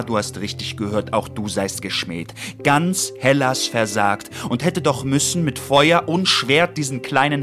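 Radio tragedy, male actor as anguished old man, solemn slow declamation, mid pitch, music behind. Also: fairly bright tone, natural voice. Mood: outraged. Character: anguished old Greek man lamenting, calling for Herakles. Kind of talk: declamatory verse radio drama.

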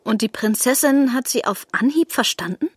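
An actress playing a sharp-witted young female investigator who asks probing questions. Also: on the high side, expressive, normal speed, husky, no echo. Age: young adult.

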